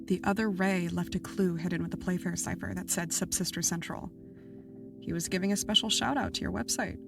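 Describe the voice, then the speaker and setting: A blunt, probing woman investigator played by an actress speaks a little away from the mic over clear music. Her voice is on the low side. The dialogue is natural audio drama. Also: fairly even intonation, brisk, distant mic.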